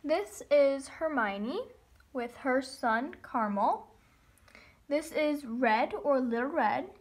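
High-voiced woman giving a toy barn tour. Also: slow pace. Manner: lists names in a steady run of "and then". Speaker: model horse hobby vlogger. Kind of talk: casual guided tour narration. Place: indoors in a home room beside a toy horse barn.

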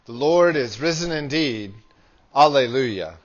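A man in his thirties, a priest reading prayers and scripture in a solemn, measured liturgical style. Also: mid-range voice, animated, muffled recording. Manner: solemn, measured, deliberate phrasing. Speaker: priest leading a church service. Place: small church with hard walls.

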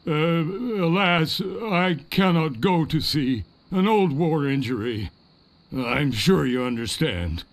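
A man's voice: a little high, expressive, slow pace. A man playing a chatty, evasive old pirate who fusses and gives advice in a video game. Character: genial, cowardly old pirate giving advice. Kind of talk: video game character acting.

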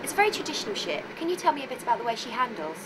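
Young woman reporter, fast and bouncy, higher voice, loud noise behind. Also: big pitch swings. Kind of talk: presenting and interviewing.

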